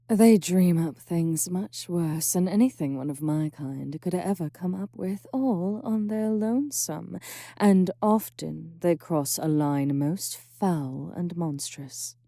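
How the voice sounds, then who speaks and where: A woman doing a seductive demon, low, mellow voice, breathy, whispery, arrogant. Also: dry recording, clean studio sound, full character voice. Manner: slow, sultry, teasing with frequent whispers. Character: sultry, arrogant female succubus demon princess. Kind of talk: ASMR roleplay.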